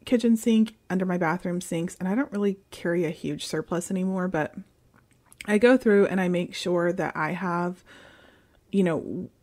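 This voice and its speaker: A woman's voice: fairly low pitch, normal speed, a little mellow. A woman in her thirties from the United States, chatting casually in a vlog with lots of ums and likes while cleaning her home kitchen. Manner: rambling, many ums and likes. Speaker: vlogger. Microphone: phone at arm's length, later far camera.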